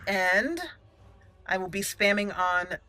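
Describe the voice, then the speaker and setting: A woman's voice: medium pitch, steady tone, slow, background noise. A woman giving casual let's play commentary as a channel host, thinking aloud and repeating words as she goes.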